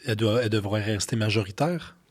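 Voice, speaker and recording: Husky Quebec French man hosting. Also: on the low side, fast talker. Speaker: podcast host. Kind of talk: conversational interview.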